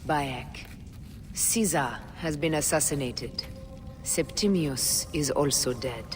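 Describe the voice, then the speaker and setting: An actress playing a grim, resolute woman assassin in a game cutscene, speaking in a cold, low, often whispered manner. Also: slow pace, over music.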